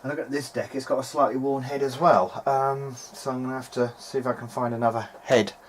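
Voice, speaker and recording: A man with a mid-pitched voice talks casually through a hobby repair at his workbench. He is tentative and unscripted, working things out aloud as he goes. The home recording has noticeable background noise. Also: steady tone, brisk. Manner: hesitant, thinking aloud, tentative. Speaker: hobbyist video maker repairing vintage audio gear. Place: home workbench, speaking behind a handheld camera. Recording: clearly noisy amateur home recording.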